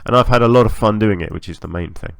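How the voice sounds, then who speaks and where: A man giving casual, chatty commentary as host of a gaming channel, a little hoarse from a long recording session, hesitant and self-effacing. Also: lowish voice, fast, fairly bright tone, no room sound, studio quality. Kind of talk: casual game build commentary.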